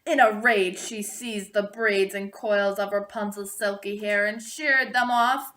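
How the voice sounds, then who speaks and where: A woman narrating a storybook aloud, expressively and deliberately, drawing out the ends of phrases. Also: medium pitch, fairly even intonation, a bit bright. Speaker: storybook reader.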